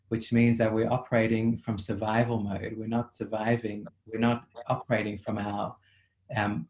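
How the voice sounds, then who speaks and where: South African male therapist, level low delivery, measured and reflective, video call audio. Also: darkish tone, rough recording. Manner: measured, reflective, with frequent ums. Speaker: psychotherapist. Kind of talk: thoughtful interview answers.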